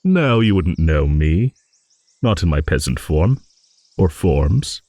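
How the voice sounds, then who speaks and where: A man's voice: low voice, very animated, slow pace, dry recording, heavily acted. A man voicing a rambling old dragon, genial, wistful and a little vain, in an improvised fantasy audio monologue. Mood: playful storytelling. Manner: rambling, musing, with hums and soft chuckles.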